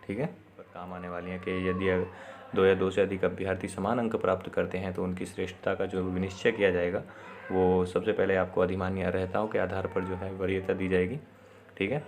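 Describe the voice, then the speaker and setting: Low, monotone man explaining step by step. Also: brisk, a little hiss or hum. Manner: instructional, repeatedly checking in with short confirmations. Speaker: presenter of an exam and job information video. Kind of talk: informal explainer, addressing viewers directly.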